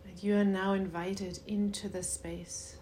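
A woman leading a guided meditation in a soothing, unhurried way with long pauses and a soft voice that turns breathy at times. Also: mid-range voice, little pitch movement, slight background noise.

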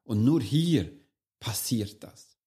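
A hoarse man, a coach and podcast host, talking earnestly and straight to the listener in a solo motivational monologue. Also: medium pitch, expressive, slow, dark tone.

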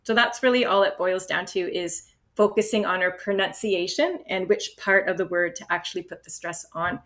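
A woman from Canada explaining speaking-test strategies in an encouraging, steady instructional manner. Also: fairly low pitch, normal speed. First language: English. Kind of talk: instructional podcast talk.

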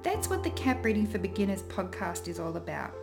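Australian woman, lively intro read over clear music. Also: mid-range voice, a little fast. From Western Australia. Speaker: podcast host. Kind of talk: scripted show intro.

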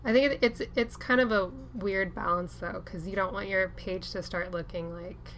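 A young woman hosting a podcast interview, talking in a casual, relaxed way and filling her speech with "like". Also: medium pitch, moderate pace, slight background noise. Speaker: podcast host.

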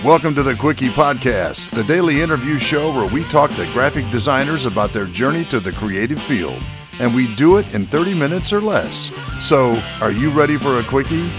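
A man's voice: medium pitch, lively intonation, moderate pace. A man reading a scripted podcast intro in an inviting, announcer-like way. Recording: pre-recorded intro over guitar music.

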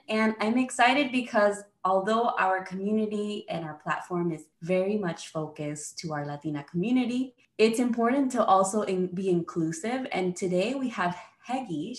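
A woman's voice: medium pitch, a bit bright, roomy sound. A woman hosting a podcast, enthusiastic and welcoming, who mixes Spanish words into her English. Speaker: podcast host. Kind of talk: upbeat podcast interviewing.

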